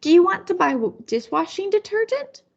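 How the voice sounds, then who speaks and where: A woman's voice: extremely high pitch, sing-song, moderate pace, vocal fry, highs cut off, voice pushed up, full character voice. A woman voicing a frustrated, saddened mother in a storybook, questioning and exasperated.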